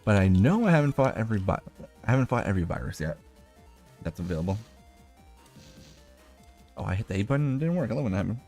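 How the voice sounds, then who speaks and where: Low-voiced man rambling over game music. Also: big pitch swings, fast talker, pauses often, darkish tone. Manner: rambling, thinking aloud. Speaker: video game streamer. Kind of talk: casual gameplay commentary.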